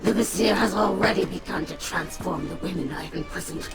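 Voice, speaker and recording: A taunting monstrous villainess from a video game. She is scornful and menacing in a lowish, gravelly creak, with loud music behind her. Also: a little fast.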